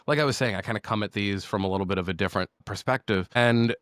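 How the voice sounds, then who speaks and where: Deep-voiced man hosting a chat. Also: quick pace, fairly bright tone, smooth, no room sound, clean studio sound. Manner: enthusiastic, talkative. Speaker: podcast host. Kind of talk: casual interview chat.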